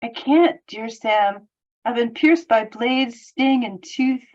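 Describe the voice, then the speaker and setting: A woman's voice: on the high side, slow pace. A woman reading a part at a table read in a playful, melodramatic, joking way on slightly muffled audio.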